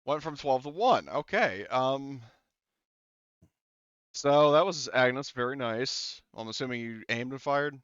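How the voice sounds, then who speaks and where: Clear-voiced man running a tabletop game. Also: medium pitch, slow, fairly bright tone, dry recording. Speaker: game master. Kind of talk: casual tabletop RPG refereeing. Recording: slightly dull, lo-fi voice-chat audio.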